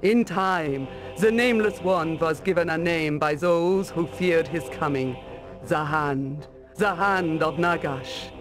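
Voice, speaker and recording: An actor playing a grave, regal ruler of a northern empire in a fantasy game, telling things in a solemn, measured way. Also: male voice, fairly high pitch. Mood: solemn, ominous. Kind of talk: fantasy video game cutscene acting. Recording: loud music under the voice.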